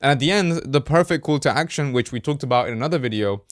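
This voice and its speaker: A man from Colombia whose first language is Spanish, hosting a casual explainer and breaking things down conversationally, with quick mock-voiced asides. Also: mid-range voice, animated, no room sound, clean studio sound. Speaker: host, ad creative marketer. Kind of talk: casual explainer talk to camera.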